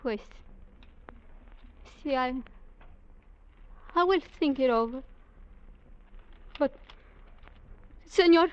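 An actress playing a fiery, distrustful young woman with a Mexican Spanish accent and halting English in an old radio adventure drama. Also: high voice. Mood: guarded, defiant. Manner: defiant, halting non-native English phrasing. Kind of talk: 1940s-50s adventure radio drama acting. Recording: muffled, noisy vintage radio recording.

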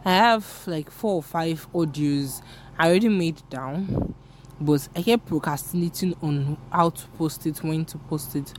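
A woman's voice: low voice, a little slow, a little mellow, slight background noise. A young woman from Nigeria, whose first language is Yoruba, speaks with a Nigerian English accent. She hosts her own podcast in a rambling, candid, self-deprecating chat. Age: young adult. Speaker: podcast host. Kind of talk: casual solo podcast chat.

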